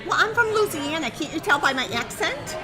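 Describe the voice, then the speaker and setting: A woman's voice: extremely high pitch, very animated, a little fast, over music. A woman voicing a chatty, scatterbrained woman makeup artist to the stars, rambling and giggly. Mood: breezy. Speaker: comic guest. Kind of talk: improvised comedy talk show bit.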